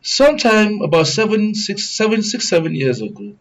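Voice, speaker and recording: A man with a Nigerian accent speaks as a serious panel commentator. His voice is a little higher than average, and he builds his points deliberately, with emphatic, oratorical phrasing. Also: very animated, rough recording. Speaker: guest commentator, good governance advocate.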